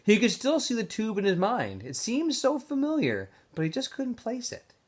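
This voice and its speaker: Male story reader, classroom read-aloud feel, animated and emphatic delivery. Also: sits high, normal speed. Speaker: teacher reading aloud to students.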